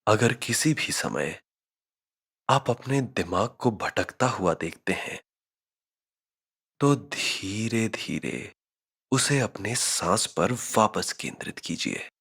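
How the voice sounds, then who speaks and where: Male meditation guide: low register, very slow measured delivery, husky edge to the tone. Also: expressive, a bit bright. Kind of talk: scripted guided meditation narration.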